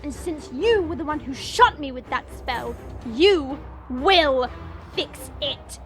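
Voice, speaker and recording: Woman playing a haughty, high-pitched dragon princess. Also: animated, slow, music in the background. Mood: menacing. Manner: imperious, mocking, commanding. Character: haughty, short-tempered dragon princess trapped in human form. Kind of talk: audio roleplay drama acting.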